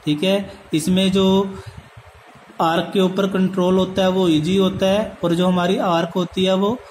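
A man's voice: high-pitched, little pitch movement, unhurried, darkish tone, a little hiss or hum, rough recording. A man with an Indian accent giving a lecture from notes, mixing in English terms and repeating points as he explains step by step. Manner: switches between Hindi and English terms. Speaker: polytechnic lecturer.

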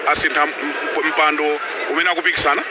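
A man's voice: a little high, level tone, fast talker. Hesitant Malawian man presenting amid noise. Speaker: television presenter asking questions. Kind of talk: casual live broadcast chat. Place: outdoors at night outside a convention centre, among a crowd. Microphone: handheld microphone. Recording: noisy outdoor live broadcast.

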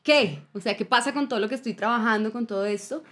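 A woman talks reflectively and earnestly, pausing on fillers as she thinks aloud. She sounds close on a studio microphone. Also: on the high side, fairly bright tone. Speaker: actress, podcast guest. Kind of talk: casual podcast chat. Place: indoor studio with blue backdrop. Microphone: studio mic on boom arm.

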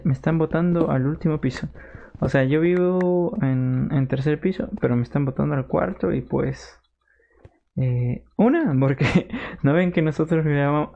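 Chatty Peruvian man streaming a game. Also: fairly high pitch. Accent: Peruvian Spanish. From Peru. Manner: chatty, reads and answers viewer messages, jokey outbursts. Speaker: gaming streamer. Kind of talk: casual live-stream chat while playing. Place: home room. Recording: slightly dull lo-fi sound with faint noise.

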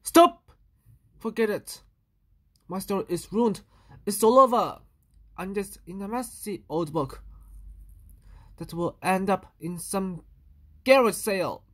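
A woman's voice: mid-range voice, lively intonation, slow, long pauses. A woman voicing a fussy, dramatic little boy from a storybook, exclamatory and easily exasperated. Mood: despairing, then dejected. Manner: exclamatory, indignant.

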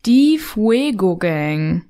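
A woman's voice: mid-range voice, sing-song, extremely slow, mellow. A woman presenting a podcast as co-host in a scripted style.

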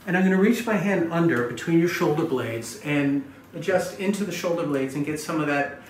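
A middle-aged man speaks as a chiropractor at work, giving clear step-by-step instructions and explanations to the person he is treating. The room adds noticeable echo and there is faint noise behind him. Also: fairly high pitch, brisk. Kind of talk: explaining hands-on treatment to clients. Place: small indoor treatment room.

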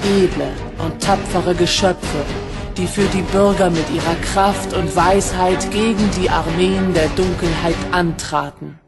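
A woman narrating a fantasy audio drama prologue in a solemn, scripted style. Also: lowish voice.

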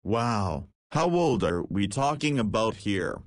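Visual novel lead, male, nineteen: cheeky, easygoing and slangy, lowish voice, dry recording, acted by a man. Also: very animated, slow, dry recording. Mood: curious. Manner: casual, slangy. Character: cheeky, easygoing nineteen-year-old male ex-juvenile detainee. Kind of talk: visual novel character dialogue.

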